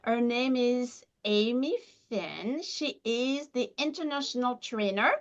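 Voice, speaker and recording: A woman's voice: on the high side, slow pace, lo-fi sound. A woman hosting a skincare training webinar over a video call, introducing her guest with enthusiasm. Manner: enthusiastic, encouraging.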